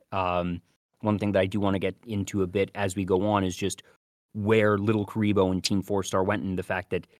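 Male podcast co-host, lowish voice, dry close sound, measured, conversational, explanatory. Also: no echo. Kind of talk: casual explanatory podcast talk.

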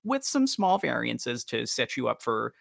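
A man's voice: medium pitch, big pitch swings, unhurried, vocal fry, no room sound, clean studio sound. A man hosting a casual podcast, talking in wordy, roundabout and slightly formal phrases. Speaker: podcast host.

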